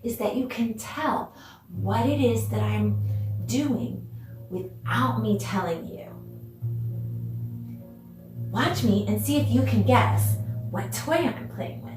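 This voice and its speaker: A woman's voice: fairly high pitch, lively intonation, slow pace, long pauses, music in the background. A woman teaching a children's dance lesson on video, speaking directly to pupils in an encouraging way, asking questions and giving praise. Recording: roomy echo, distant mic, background noise.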